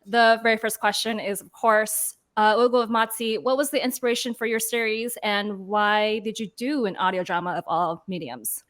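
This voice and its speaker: A woman's voice: medium pitch, normal speed, hardly any pauses, a bit bright. A woman moderating a panel discussion, introducing guests and putting questions to them.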